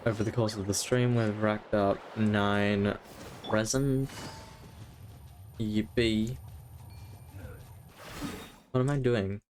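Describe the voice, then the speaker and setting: A man's voice: fairly low pitch, fairly even intonation, slow, pauses often, a little mellow, a little hiss or hum. A man giving casual live gameplay commentary as a streamer, rambling and thinking aloud. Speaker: game streamer.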